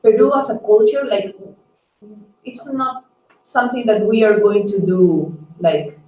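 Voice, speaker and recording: A woman doctor giving advice on a conference call, talking haltingly with "like" and "right?" dropped in often. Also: medium pitch, mellow. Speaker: staff doctor. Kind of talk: advisory conference call talk. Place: echoey room on a conference call. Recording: roomy, distant mic, noisy conference call.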